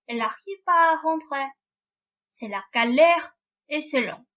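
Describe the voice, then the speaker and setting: A woman's voice: high-pitched, animated, a little slow, sharp tone, exaggerated voice. A woman playing a naive, sulky young prince in a student French radio play. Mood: guarded, weary.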